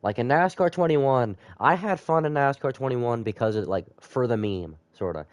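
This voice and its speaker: A man's voice: medium pitch, animated, normal speed, dry recording. A man sick with a cold, slightly nasal, rambling casually to viewers as he commentates a live game stream.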